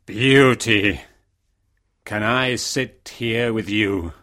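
An actor playing a lonely, kind, ugly beast who rules a castle, speaking solemnly and courteously in a storybook reading. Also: male voice, lowish voice, extremely slow, sharp tone, exaggerated voice. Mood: courteous, tentative. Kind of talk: dramatised storybook reading for learners.

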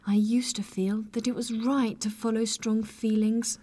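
A man voicing a troubled young woman torn between love and duty, in a high pleading voice. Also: lively intonation, measured pace, dry recording. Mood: earnest.

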